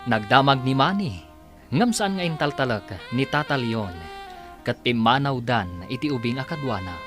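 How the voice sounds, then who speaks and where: Animated male radio drama announcer over music. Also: mid-range voice, normal speed. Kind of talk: dramatic scripted radio narration and credits. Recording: voice under loud music. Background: loud musical score.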